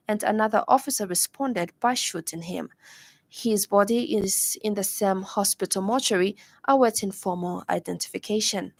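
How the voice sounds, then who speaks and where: A Zambian woman presents a radio news bulletin from a script. Her voice sits at a middle pitch, and the studio sound is close and dry. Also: no room sound.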